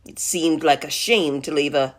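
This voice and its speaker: A woman's voice: sits low, normal speed, pitched below the performer's own voice. A woman voicing a folksy, indignant male wolf who tells his side of a storybook tale with mock innocence. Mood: self-excusing.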